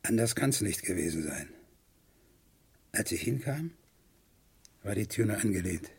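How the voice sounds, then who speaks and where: An actor playing a distraught, haunted murder suspect in a German radio crime play, speaking in a halting, repetitive, anguished way. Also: male voice, on the low side, expressive, a little mellow, breathy edge, heavily acted. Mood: defensive.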